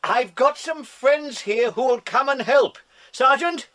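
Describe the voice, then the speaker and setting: A man's voice: very high voice, slow pace, bright, forceful tone, heavily acted. An actor playing a kindly, jovial man in a children's audio drama, with a hearty, exclamatory manner. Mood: urgent concern.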